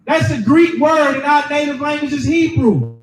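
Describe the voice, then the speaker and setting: High-pitched shouting preacher, echoey and distant. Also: male voice, moderate pace. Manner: loud, emphatic, preaching to a crowd. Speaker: street preacher. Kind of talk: shouted sermon with scripture reading.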